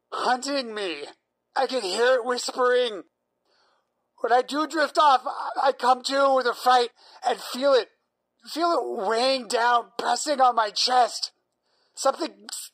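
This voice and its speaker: High-pitched, anxious, worn-out patient played by a woman. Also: fairly bright tone, poor audio. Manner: halting, anxious, pleading. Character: exhausted, terrified insomniac patient, frantic and pleading. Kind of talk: audio drama acting.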